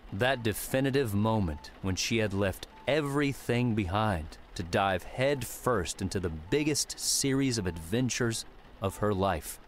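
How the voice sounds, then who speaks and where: A man's voice: lowish voice, a little hiss or hum. A man reading scripted fiction as an audiobook narrator.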